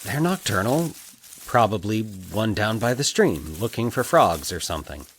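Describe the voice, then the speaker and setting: Audio fiction role: male reader playing a jittery man alone, thinking aloud in a lowish, halting mutter. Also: big pitch swings, husky, full character voice. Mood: nervous guessing. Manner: halting, awed muttering to himself. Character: Mike, uneasy grown man talking to himself. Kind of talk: dramatized audio fiction dialogue.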